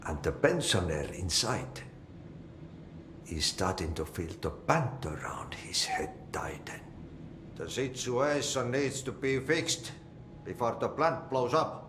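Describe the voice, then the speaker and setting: An actor with a Finnish accent playing an eccentric, cheerful janitor who talks slowly in a sing-song way and mangles idioms. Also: male voice, medium pitch, very animated, echoey room, noisy background. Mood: rambling. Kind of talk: video game cutscene acting.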